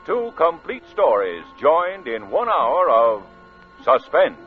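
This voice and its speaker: Old time radio announcer guy, highish theatrical voice, tinny sound. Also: sing-song, takes it slowly. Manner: emphatic, theatrical. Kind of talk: 1940s dramatic radio announcing. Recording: tinny, band-limited old radio recording. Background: music.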